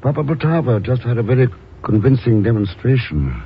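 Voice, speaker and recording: An actor playing a cool, urbane secret agent with dry, understated delivery in a 1950s radio drama. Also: male voice, mid-range voice, sing-song, fairly quick. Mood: urgent, controlled. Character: cool, wry male secret agent on a mission. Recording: dull, noisy old radio recording.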